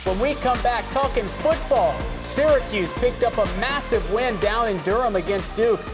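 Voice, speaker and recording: A young American man doing sports broadcast commentary in clipped, energetic phrases, as an announcer and host. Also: very high voice, normal speed, over music. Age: young adult.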